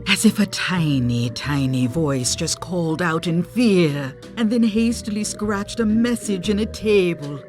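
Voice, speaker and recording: Low-voiced mysterious voodoo fortune teller. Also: female voice, animated, unhurried, few pauses, a little mellow, soft background music. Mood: knowing, ominous. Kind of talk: video game voice acting.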